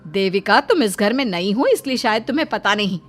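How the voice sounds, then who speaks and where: A woman's voice: medium pitch, expressive, a little fast, faint music behind, close to an everyday voice. A woman voicing an arrogant, bossy middle-aged mother-in-law in a moral story, easily exasperated.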